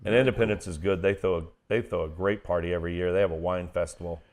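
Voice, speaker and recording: A middle-aged American man with a booming baritone, chatting casually as an interview guest. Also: fairly even intonation, fast talker, a little mellow. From Kansas City area, United States. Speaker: guest, event MC and voiceover artist. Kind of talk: casual interview chat with stories.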